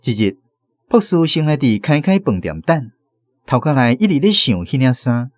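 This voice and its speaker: Animated Taiwanese male storyteller. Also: medium pitch, normal speed, no room sound, studio quality. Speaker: narrator. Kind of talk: scripted radio drama story narration. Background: faint music.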